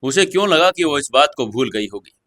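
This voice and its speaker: A man's voice: on the high side, animated, fairly quick, a bit bright, no room sound. A man narrating a scripted audio story in a dramatic storytelling style, close on the mic.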